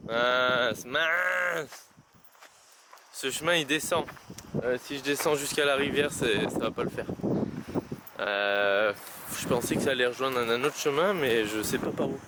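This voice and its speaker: A young man rambling to his camera on a windy mountain trail, his easy mid-range voice halting over fillers and restarts. Loud wind buffets the mic the whole time. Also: medium pitch. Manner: rambling, many hesitations and restarts. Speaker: vlogger. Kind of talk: casual vlog talk while hiking.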